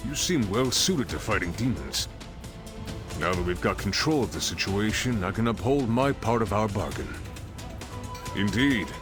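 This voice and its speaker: A man voicing a hearty pirate captain in a game cutscene. The voice is lowish and commanding, with big sweeps of intonation and a formal turn of phrase. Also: natural voice.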